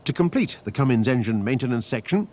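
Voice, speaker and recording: A man reading a scripted technical training film narration in a measured, precise, instructional manner. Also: medium pitch, very animated, dark tone. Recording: noisy archive training-film soundtrack.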